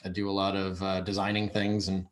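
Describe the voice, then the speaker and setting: Male guest speaker, fairly low voice, informal explainer over a video call. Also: completely flat delivery, fairly quick. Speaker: guest speaker, head of user experience. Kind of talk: casual explanatory talk.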